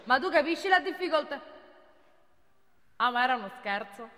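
An actress playing a flirtatious, excitable woman on a phone date in a comic stage monologue, coy, giggly and repeating herself. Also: high voice, normal speed. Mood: flustered. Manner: coy, flustered, repetitive, giggly. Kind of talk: comic theatre monologue as one side of phone call. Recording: echoey theatre hall, clear background noise.

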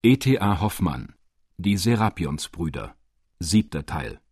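Male announcer, low pitch, lively intonation, husky, scripted radio title, dry sound. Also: unhurried, no room sound.

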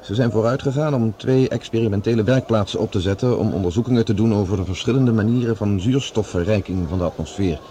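A friendly, thoughtful man of science voiced by an actor in a science fiction radio play. His voice sits low and soft-edged, and there is some noise behind it. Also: close to an everyday voice. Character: friendly male Mars project logistics scientist, attentive, thoughtful. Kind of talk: Dutch science fiction radio drama acting.

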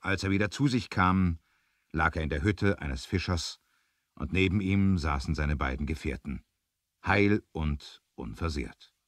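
A man narrating a scripted 1970s adventure audio drama, measured, with dramatic emphasis. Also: low voice, dry recording.

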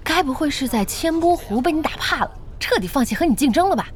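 An actress playing a brisk, bossy young female internet-café owner in an audio drama, direct and chiding. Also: sits high, expressive. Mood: nosy, teasing. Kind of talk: Chinese audio drama acting.

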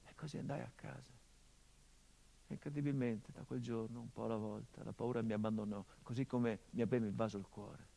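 A middle-aged actor playing a grieving son whose father was murdered, speaking a theatrical monologue in a measured, grave, introspective way. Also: mid-range voice, steady tone, gravelly, warm tone.

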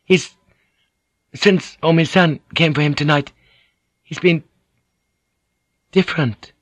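A man performs a Japanese noblewoman serving as interpreter in a historical audiobook. The voice is lifted high, polite and hesitant. An anxious deference runs through it, in a dry recording. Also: slow pace, no echo. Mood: troubled, hesitant.

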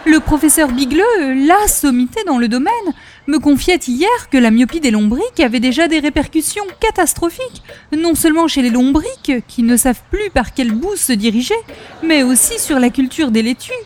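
A gushing, coquettish lady visitor in an old-time radio comedy. Her voice is pitched very high, and she delivers every phrase with flowery, earnest theatricality. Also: animated, moderate pace, darkish tone. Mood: dramatically earnest. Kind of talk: 1940s-style radio comedy acting.

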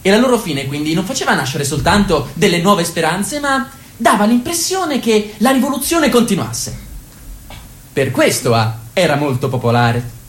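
A woman's voice: mid-range voice, very animated, moderate pace, natural voice. A woman acting as a solemn chronicler of a tyrant's rule in radio theatre, with emphatic, declamatory delivery. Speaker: narrator. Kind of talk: dramatic narration in radio theatre. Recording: echoey room, loud music bed. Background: loud music.

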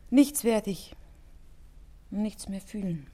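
A woman's voice: mid-range voice, lively intonation, takes it slowly, warm tone. An actress playing a vulnerable but proud young woman in love, hesitant and intense, in a classic radio play. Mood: hurt, proud.

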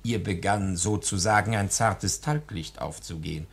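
A man's voice: deep voice, moderate pace, gravelly, slightly rough. A man narrating a 1940s radio play in a wry, ornate storybook manner.

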